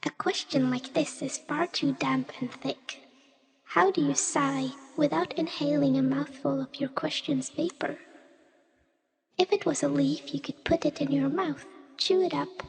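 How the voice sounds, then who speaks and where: A woman's voice: sits high, gravelly, darkish tone. A woman playing a weary, cryptic otherworldly small creature in a poetic radio play, speaking in solemn riddles. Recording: long cavernous reverb.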